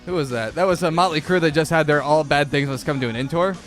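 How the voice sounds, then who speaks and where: A man's voice: a little high, quick pace, a bit bright, soft background music. A man co-hosting a casual show, playful and throwing in jokes. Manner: playful, joking asides. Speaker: co-host. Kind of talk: casual banter.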